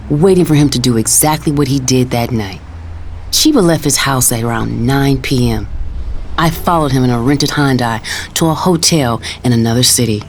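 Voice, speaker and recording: An actress voices a vengeful, calculating mother in middle age, telling her story in scripted first person. Faint ambient noise sits behind her deliberate narration. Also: low-pitched, darkish tone.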